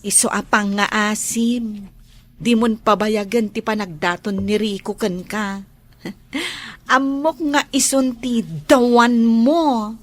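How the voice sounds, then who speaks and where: A woman's voice: a little high, slow. A woman acting as a teasing, outspoken friend giving love advice in an audio drama, with an Ilocano accent. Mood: teasing, insistent.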